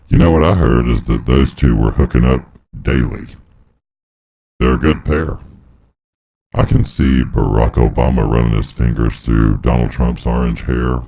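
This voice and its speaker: A man giving a rambling, deadpan comedy monologue through a voice transformer that makes him sound deep and gravelly. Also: muffled recording. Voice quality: electronically deepened, gravelly. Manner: deadpan, absurd musing. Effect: voice transformer pitch shifter. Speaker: comedy performer.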